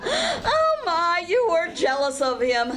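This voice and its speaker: A very high, animated voice for a fond, teasing radio-comedy wife , played by a woman. She is folksy and theatrical, taking her time over each line, sweet but pointed. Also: slow, exaggerated voice. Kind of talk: 1940s radio comedy acting before an audience. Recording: faintly noisy live stage recording.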